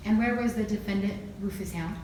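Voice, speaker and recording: A woman's voice: medium pitch, a little fast, darkish tone, distant mic, lightly acted. Actress as woman prosecutor, formal, probing, amateur drama, heavy room echo, faint background noise. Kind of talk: amateur courtroom drama dialogue.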